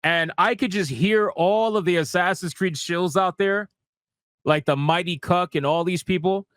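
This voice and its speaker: A man's voice: high voice, moderate pace, fairly bright tone, no echo, clean studio sound. A man giving casual, sweary, slangy commentary as a livestream host, emphatic and mocking, repeating phrases for effect. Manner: sarcastic, mocking. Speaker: host of a gaming news livestream.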